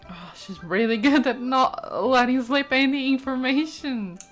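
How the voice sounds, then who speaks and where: A woman's voice: high voice, measured pace, a bit bright, faint music behind. A woman doing casual let's play commentary, reacting to a game in a gushing, fannish way. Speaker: gaming let's-player.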